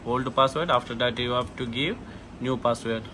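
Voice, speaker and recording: A man who is not a native English speaker gives a hands-on setup walkthrough in short, halting phrases with frequent okays. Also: mid-range voice, fairly even intonation, pushed and bright. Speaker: tutorial presenter, technician. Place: indoor shop or office. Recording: noisy room, clear background noise.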